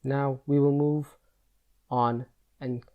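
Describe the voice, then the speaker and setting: A man leading a guided meditation, giving slow instructions in a measured, gentle, instructional manner. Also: mid-range voice, flat delivery, highs cut off.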